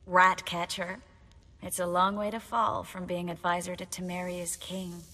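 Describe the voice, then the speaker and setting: An actress playing a young sorceress in a video game, wry, guarded and teasing. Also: female voice, lowish voice, little pitch movement, measured pace, sharp tone, big-room sound.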